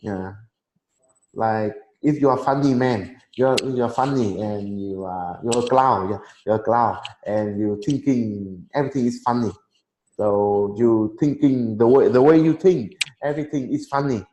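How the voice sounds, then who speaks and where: A man in his thirties, a Vietnamese native speaker, chatting casually in halting English and laughing often. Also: medium pitch, a little mellow. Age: 30s. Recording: echoey room, faint noise.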